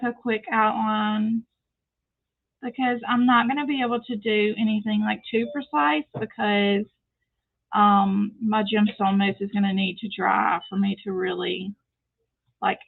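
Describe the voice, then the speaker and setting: A woman's voice: mid-range voice, moderate pace, gravelly. Southern lady painting crafts, chatty, lots of ums, sounds like a phone line. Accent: Southern US, North Louisiana. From North Louisiana. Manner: chatty, explains as she paints, frequent fillers. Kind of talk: casual painting tutorial.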